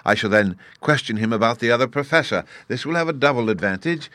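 A man voicing an excitable, absent-minded, kindly old professor in a storybook, with a flustered, pedantic manner. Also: medium pitch, fast, no echo, studio quality, pitched above the performer's own voice, natural voice.

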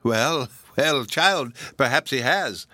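A gloomy, lonesome old woman with a stiff temper, sighing and mournful as she speaks , put on by a male audiobook reader. Her voice sits clearly above his own register. It is recorded close and very dry, with no room sound. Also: medium pitch, fairly bright tone, no echo, voice pushed up, close to an everyday voice. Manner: mournful, sighing, halting delivery.

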